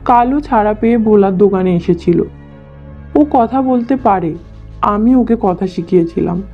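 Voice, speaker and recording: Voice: medium pitch, moderate pace, lightly acted. A performer playing a humble, apologetic village barber in a cartoon, pleading. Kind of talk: cartoon dialogue. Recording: tinny narrow-band audio with music.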